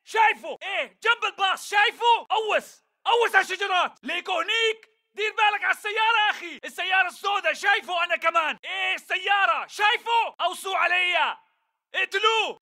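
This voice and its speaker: An actor with a Syrian Levantine Arabic accent voicing an aggressive militant in a video game, barking short, urgent battle callouts. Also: male voice, very high-pitched, sharp tone, full character voice. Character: aggressive male militant fighter calling out enemy positions. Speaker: game enemy soldier.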